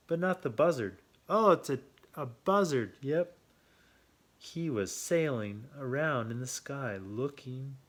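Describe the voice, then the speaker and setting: Expressive man reading a children's storybook. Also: medium pitch. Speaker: children's story reader and host. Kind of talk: storybook read-aloud with chatty asides.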